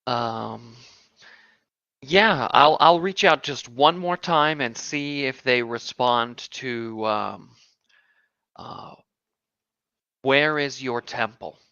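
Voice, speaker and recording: Guy playing a cleric, halting and unsure, bright tone over a dull lo-fi mic. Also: medium pitch, dry recording. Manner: hesitant and searching, with many fillers. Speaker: player. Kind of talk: playing a cleric in a tabletop game.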